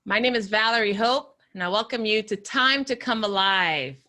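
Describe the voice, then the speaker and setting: Bright-voiced woman podcast host. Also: mid-range voice. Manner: slow, deliberate opening, then conversational with laughs. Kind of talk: warm interview podcast. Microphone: video call.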